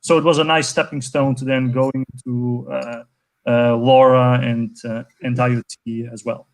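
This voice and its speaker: A man's voice: mid-range voice, little pitch movement, normal speed, poor audio. A man in his twenties from Portugal, a guest speaking enthusiastically over a video call with many fillers and restarts. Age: 20s. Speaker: guest, network co-founder. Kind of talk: conversational interview answer.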